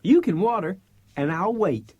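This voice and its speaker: An actor voicing a small, mischievous, excitable young male cat in a children's audiobook, with a shrill, childlike delivery. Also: a little slow, dry recording. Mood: cheeky. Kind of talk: acted audiobook storytelling for children. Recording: dull cassette transfer with loud music.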